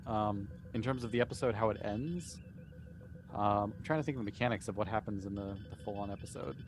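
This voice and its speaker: A man's voice: fairly low pitch, a little fast. Laid-back man over faint music. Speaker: cast member answering questions. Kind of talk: casual group chat.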